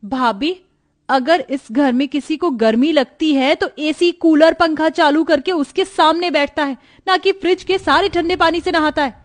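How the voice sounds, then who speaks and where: A woman's voice: sits high, normal speed, lightly acted. A woman playing an indignant young sister-in-law in a cartoon family story. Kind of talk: cartoon moral story dialogue.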